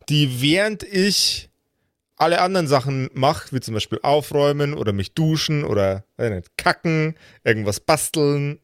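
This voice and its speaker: A man's voice: a little high, dry recording, studio quality. A man, a game master explaining things casually and colloquially on a podcast. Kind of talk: casual reflective explanation among friends.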